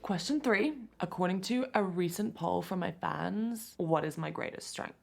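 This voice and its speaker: An actress playing a vain, self-satisfied nineteen-year-old quizmaster with a gushing manner in an interactive film. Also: female voice, on the low side, normal speed, vocal fry, a little mellow, faint music behind. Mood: smug.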